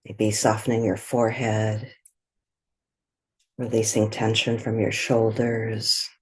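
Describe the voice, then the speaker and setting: A woman leading a guided meditation in a gentle, soothing way, with a soft, breathy voice and long pauses between short phrases. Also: rumbling low, little pitch movement.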